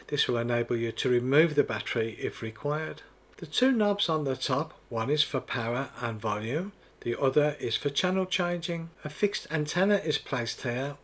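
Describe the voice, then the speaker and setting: A man narrating a scripted product demonstration in a methodical, step-by-step explaining manner. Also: medium pitch. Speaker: product reviewer.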